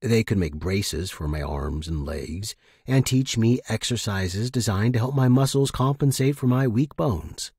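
A man reading first-person fiction as a narrator, in an expressive, dramatic manner. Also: lowish voice, unhurried, few pauses, breathy edge, no echo, clean studio sound.

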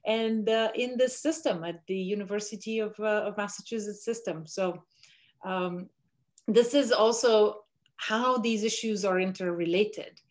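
A middle-aged woman from Bulgaria, a professor answering interview questions over a video call from a home room. She talks in a measured, thoughtful way, pausing on fillers as she builds her points. Her pitch sits in the middle range. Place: home room with window blinds.

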